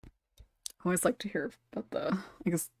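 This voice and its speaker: A woman's voice: fairly low pitch, normal speed, creaky, darkish tone, husky, dry recording, studio quality. A woman hosting a casual panel discussion, chuckling often as she talks. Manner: chuckles often while talking. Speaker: podcast host and moderator.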